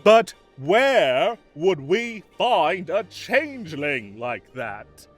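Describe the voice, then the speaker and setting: A man's voice: sits high, big pitch swings, drawn-out words, soft background music, exaggerated voice. A man playing a whimsical fae king of summer in an audio roleplay, theatrical, playful and grand, with mock indignation and doting fondness for his cats. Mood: sly, teasing.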